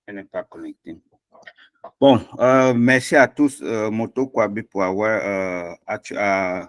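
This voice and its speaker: Slow, hesitant low-voiced man. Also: fairly bright tone, no room sound. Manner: hesitant, many fillers. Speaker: online class organizer. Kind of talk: closing remarks on a video call.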